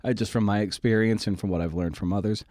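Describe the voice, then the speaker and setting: A man's voice: on the low side, steady tone, fast, a little mellow, dry recording, clean studio sound. A man hosting a podcast in casual, candid talk, rambling and self-deprecating with frequent false starts. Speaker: podcast host.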